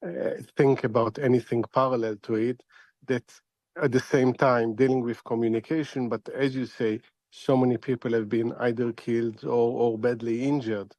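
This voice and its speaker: A man speaking as a news correspondent, giving unscripted commentary in a halting, hesitant way. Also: mid-range voice, fairly even intonation, darkish tone, dry recording. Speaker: reporter or correspondent.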